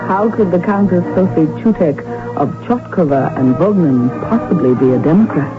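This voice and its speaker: An actress playing a poised, witty, self-deprecating young countess in a radio drama, speaking formally with arch, precise wording. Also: female voice, fairly low pitch, animated, moderate pace, lightly acted. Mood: playful, deferential. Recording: tinny vintage radio broadcast, music behind.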